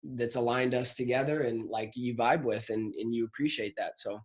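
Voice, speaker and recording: A man's voice: medium pitch, steady tone, normal speed. Slangy young guy chatting casually. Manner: slangy, says "man" and "like" constantly. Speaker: host of a drummers' chat show. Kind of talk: casual interview chat.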